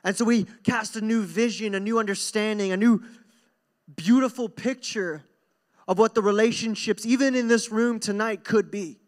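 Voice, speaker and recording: Young man, high-pitched voice, pastor, conversational sermon, earnest, informal, repeated phrases for emphasis, handheld mic. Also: steady tone, normal speed. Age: young adult. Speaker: pastor preaching. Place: stage in a church hall. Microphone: handheld microphone.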